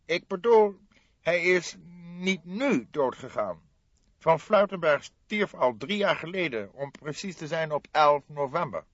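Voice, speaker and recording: A man's voice: fairly high pitch, expressive, unhurried, no echo, muffled recording, pitched above the performer's own voice. A man voicing a stout, indignant notary in an audiobook, flustered and stammering with pompous formal wording. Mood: flustered, affronted. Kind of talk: audiobook character voice.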